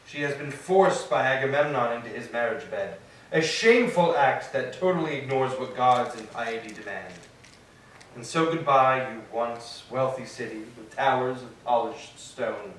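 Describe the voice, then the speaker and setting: A man's voice: mid-range voice, normal speed, fairly bright tone, slight background noise, lightly acted. A man as a stately sea god, echoing hall. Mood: grave lament. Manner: formal, declamatory. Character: stately male sea god, grand and commanding. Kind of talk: staged reading of Greek tragedy. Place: echoing church interior. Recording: very echoey hall, distant mic.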